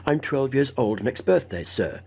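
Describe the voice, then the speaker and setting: A man's voice: mid-range voice, lively intonation, moderate pace, creaky, darkish tone, husky. A man voicing a polite, earnest eleven-year-old boy in a storybook reading. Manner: well-mannered, earnest.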